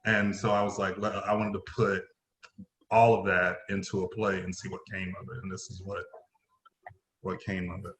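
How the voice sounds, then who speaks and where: Deep-voiced man in an echoey room. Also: flat delivery, moderate pace, fairly bright tone, a little hiss or hum. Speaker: playwright, director and acting teacher. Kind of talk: casual conversational account of creative work. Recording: roomy, echoey sound.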